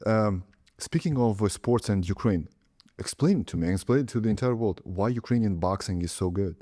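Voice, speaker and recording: A man in his thirties with a very deep, mellow voice. He hosts a relaxed interview, asking curious questions and checking for agreement with a quick "right?". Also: dry recording, clean studio sound. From Lithuania. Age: 30s. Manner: inquisitive, seeks agreement with tag questions. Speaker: podcast host. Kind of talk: casual interview.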